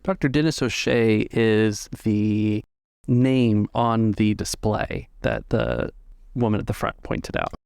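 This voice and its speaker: Gravelly man narrating a tabletop game. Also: lowish voice, animated, slow pace, darkish tone, no room sound, studio quality. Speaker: game master.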